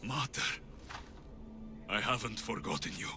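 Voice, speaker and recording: An actor playing a brooding mercenary hero in a game cutscene, terse and serious. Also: male voice, fairly low pitch, expressive, unhurried, creaky. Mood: tender. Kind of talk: dramatic game cutscene acting. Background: music.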